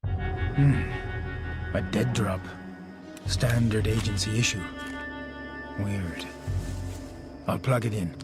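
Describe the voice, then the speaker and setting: An actor playing a tough, terse secret agent in a video game, gruff and dry. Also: male voice, fairly low pitch, slow, long pauses, loud music behind the voice.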